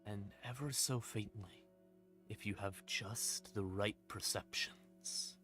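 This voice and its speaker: A man's voice: fairly low pitch, fairly even intonation, slow pace, a bit bright. A man livestreaming as a storyteller, with a casual, self-deprecating way of talking. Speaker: streamer, storyteller. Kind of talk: livestream ramble and atmospheric second-person horror narration. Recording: ambient music behind the voice. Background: creepy ambient music.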